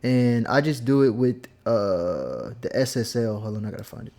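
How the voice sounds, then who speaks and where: A man's voice: medium pitch, moderate pace, gravelly, darkish tone. Chill guy rambling thru a beat tutorial at home, lots of um and like, swears a bit. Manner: laid-back, rambling, with fillers and casual swearing. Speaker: music producer teaching a tutorial. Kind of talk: casual screen-share tutorial.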